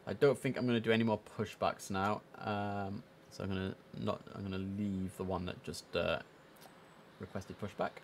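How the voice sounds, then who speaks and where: A young man with a headset, streaming an air traffic control game, chatting casually and reading out radio calls in a relaxed way that repeats words for emphasis. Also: lowish voice, moderate pace.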